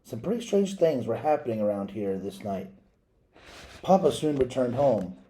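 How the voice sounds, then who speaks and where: A man reading a children's picture book aloud as a storyteller, in a measured, dramatic way with pauses and asides to listeners. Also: mid-range voice, sing-song, warm tone, rough recording.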